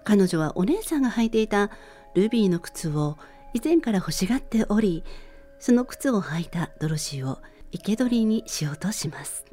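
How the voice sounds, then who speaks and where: Expressive woman storyteller, mellow voice over music. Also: mid-range voice, moderate pace. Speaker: podcast host and narrator. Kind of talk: scripted story synopsis read in radio-drama style.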